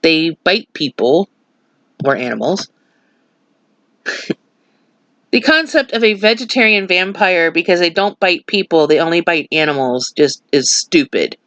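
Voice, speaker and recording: A woman's voice: deep voice, expressive, unhurried, pauses often, fairly bright tone, no room sound, dull audio. A woman vlogger chatting casually with a stuffy nose, rambling into opinionated asides. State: stuffy nose, slightly nasal. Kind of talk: casual chat.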